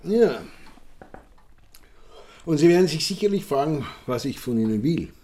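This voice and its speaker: An actor playing a genial, self-satisfied count with an Austrian accent, courtly, chummy and long-winded in a radio drama. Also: male voice, fairly high pitch, lively intonation, a little slow, dark tone. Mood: jovial. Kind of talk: German-language radio drama acting.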